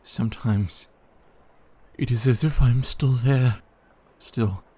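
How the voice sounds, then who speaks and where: An actor playing a haunted, fragile young husband in a Victorian gothic audio drama, halting and troubled, with a breathy, husky voice. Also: male voice, mid-range voice, dark tone. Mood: frightened, fragile.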